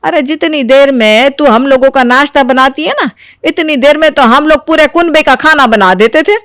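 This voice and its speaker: A woman acts out a domineering middle-aged mother-in-law from a cartoon moral tale. Her voice sits fairly high and she scolds, grumbles and exaggerates. The sound is very dry, with no room around it. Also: moderate pace, fairly bright tone, dry recording. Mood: nagging. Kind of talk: Hindi animated moral story acting.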